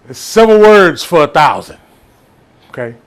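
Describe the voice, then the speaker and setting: Male comic storyteller, Southern US accent, slightly high voice, casual, rambling, animated. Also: normal speed. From Texas, United States.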